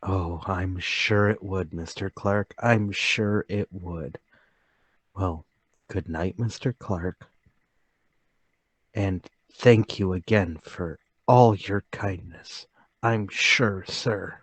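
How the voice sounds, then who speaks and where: A man's voice: low voice, extremely slow, breathy edge, full character voice. An actor playing a meek, troubled travelling salesman, hesitant and deferential, in a table read of a period murder mystery. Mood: meek, grateful.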